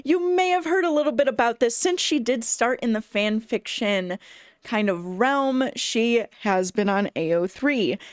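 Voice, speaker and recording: A woman's voice: mid-range voice, clean tone, no room sound, studio quality. A woman giving a casual, enthusiastic book review to camera, speaking conversationally as a bookseller would. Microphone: phone camera.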